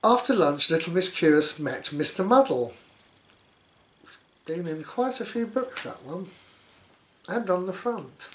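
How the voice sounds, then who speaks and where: Male storybook reader, unhurried, very varied pitch, wry joking asides. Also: expressive, reverberant, slight background noise. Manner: sarcastic, mock-commentating on the story.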